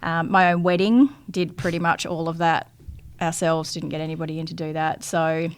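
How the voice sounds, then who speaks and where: A woman's voice: low voice, flat delivery, normal speed, clear, resonant tone. A woman, telling her story candidly as a guest on a podcast interview. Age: 40s. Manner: candid storytelling with frequent restarts.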